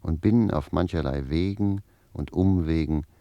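A roguish manservant talks in an extremely deep, mellow voice, recorded very dry. He is garrulous and recounts his exploits with a storyteller's deliberation, as in a classic radio play. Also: unhurried, no room sound, muffled recording. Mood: dry, self-satisfied. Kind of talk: German radio play acting.

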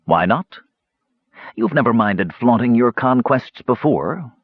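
A man's voice: medium pitch, expressive, takes it slowly, slightly rough, no room sound, phone-quality sound, pitched above the performer's own voice. A man voicing a frail, bitter mage with a cold, sarcastic, demanding manner in a fantasy audiobook. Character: frail, bitter, sharp-tongued male mage.

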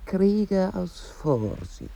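An actress playing a measured woman who recites and reports soberly in a radio play. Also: lowish voice, sing-song, gravelly, dark tone, exaggerated voice.

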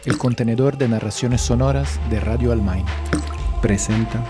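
Male radio announcer over loud music. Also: mid-range voice, normal speed. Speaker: station announcer. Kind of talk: scripted show introduction.

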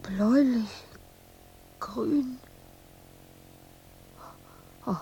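An actress playing an anguished, exhausted, obsessive woman sculptor in a radio drama monologue, speaking in halting fragments that often sink into breathy whispers. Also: on the high side, mellow, a little hiss or hum, heavily acted, poor audio. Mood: strained, awed.

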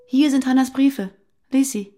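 A woman's voice: high-pitched, measured pace, dark tone. Guarded, fearful fugitive woman, confiding. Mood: urgent, concerned. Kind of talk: naturalistic radio drama acting.

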